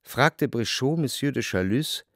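Refined gentleman narrator in a radio play, measured and analytical, mid voice, super dry close sound. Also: mid-range voice, animated, no echo. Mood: dryly observant. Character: reflective first-person male narrator, refined, observant gentleman.

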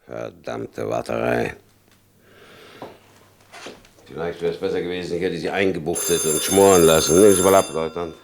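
An actor playing a hard, shrewd FBI chief in a German radio crime drama, condescending and insinuating, with a gravelly voice. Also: male voice, deep voice, brisk, darkish tone, slight background noise. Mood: tense, stern.

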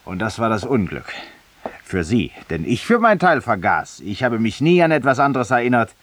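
A man's voice: mid-range voice, big pitch swings, normal speed, a bit bright, no room sound. An actor playing a vain, ironic, confessional man in a 1950s radio drama monologue, urbane and theatrical, addressing a silent companion. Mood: self-mocking, vain. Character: vain, confessional, ironic male Parisian lawyer in exile. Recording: distant-sounding 1950s radio studio recording.

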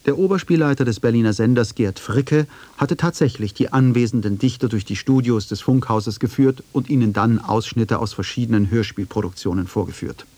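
A man narrates a scripted documentary feature. His voice sits at a medium pitch and is recorded very dry, with no room sound. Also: fairly quick, few pauses, dark tone, dry recording.

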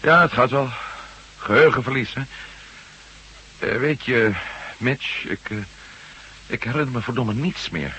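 An actor playing a bewildered, hesitant husband with lost memory, stammering and gruffly polite in a Dutch radio drama. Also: male voice, medium pitch, unhurried, pauses often, sharp tone, heavily acted, rough recording. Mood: wary, confused. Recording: faintly hissy old radio recording.

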